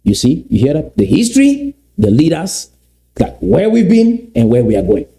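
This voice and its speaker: Dark-voiced Cameroonian man lecturing. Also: on the high side, wildly swooping pitch, moderate pace. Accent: Cameroonian English. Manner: lecturing, asks rhetorical questions. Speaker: commentator. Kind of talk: commentary on played clips.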